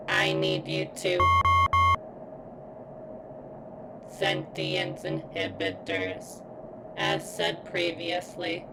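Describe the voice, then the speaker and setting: A woman voicing a damaged female surveillance robot in an ASMR roleplay, speaking in formal, clinical, halting phrases with a strained, pained delivery. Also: very deep voice, gravelly, heavy background noise, exaggerated voice. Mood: halting, urgent. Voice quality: strained, as if in pain. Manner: formal, clinical, stilted wording with halting pauses. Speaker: voice actor in audio roleplay. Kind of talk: ASMR roleplay, scripted character monologue.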